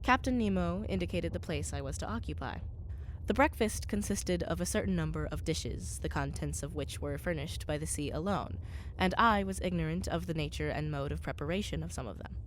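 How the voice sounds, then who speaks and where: A woman reads as a scholarly traveler narrating a radio play. The voice is on the low side for a woman, with formal, measured phrasing. Noise is audible behind the narration. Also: close to an everyday voice, low-quality recording. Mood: composed, curious. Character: scholarly male professor recounting his own undersea voyage. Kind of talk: scripted dramatic narration. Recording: clear background noise, live stage recording.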